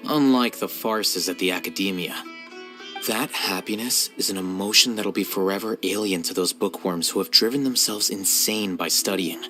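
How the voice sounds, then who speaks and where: A man's voice: low voice, moderate pace, over music, lightly acted. A man voicing an aloof young scholar in a game, musing in a dry, scornful way. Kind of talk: game cutscene dub.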